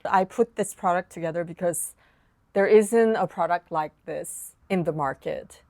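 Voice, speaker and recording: A woman whose first language is Korean, a piano teacher explaining practice tips in a calm, casual lesson. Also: fairly low pitch, a little slow, no echo, studio quality. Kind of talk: online lesson talk.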